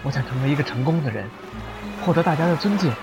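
A man's voice: fairly high pitch, lively intonation, quick pace. An actor playing a bewildered lawyer in his thirties, a stranger in another world, earnest and hesitant in a radio drama. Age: 30s. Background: background music.

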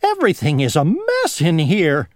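A reader voicing a fussy, panicky little worm in a high, squeaky voice for a children's storybook. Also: huge pitch swings, takes it slowly, a little mellow, no room sound, clean studio sound. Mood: panicked. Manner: fussy, exclaiming.

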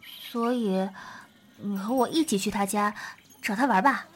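A woman's voice: medium pitch, unhurried, soft background music, close to an everyday voice. A woman playing a jealous, possessive schoolgirl in an audio drama, with an emotional, dramatic delivery. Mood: hesitant, wistful. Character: jealous, possessive schoolgirl hurt by her closest friend. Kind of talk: Chinese audio drama acting.

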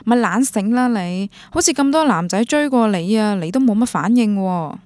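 An actress playing a cynical, down-to-earth young best friend in a radio drama, speaking Guangdong Cantonese with blunt dismissiveness. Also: female voice, mid-range voice, lively intonation, moderate pace, darkish tone, dry recording, natural voice. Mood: scolding.

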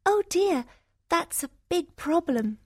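Young fairy-tale daughter, read by a woman: gentle, earnest, expressive, pitched well up high. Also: takes it slowly, no echo, clean studio sound, exaggerated voice. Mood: concerned.

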